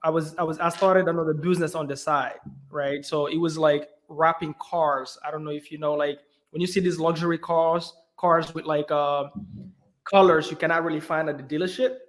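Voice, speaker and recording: A young man from Belgium, a guest in a casual interview, telling his story in an animated way with lots of "you know" and "right". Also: on the high side, normal speed.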